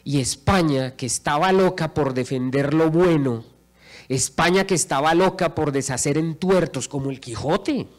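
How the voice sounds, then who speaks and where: Colombian guy, middle-aged, kinda high voice, preaching away on a big stage w a handheld mic. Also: normal speed. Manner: rhetorical, repeats phrases for emphasis, paces the stage. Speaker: lecturer. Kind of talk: impassioned public speech. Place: large auditorium stage. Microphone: handheld microphone.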